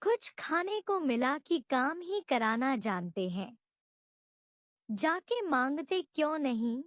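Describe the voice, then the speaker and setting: A blunt, indignant village man from a story , read by a woman, plain-spoken and a little mocking. The voice is high, very slow and dry. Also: big pitch swings, dry recording, heavily acted. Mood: indignant, sarcastic.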